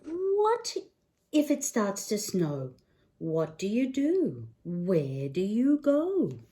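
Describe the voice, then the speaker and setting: A woman reads a children's picture book aloud very slowly. Her higher-than-average voice swings expressively through sing-song phrases and questions. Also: wildly swooping pitch, dark tone. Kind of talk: children's read-aloud narration.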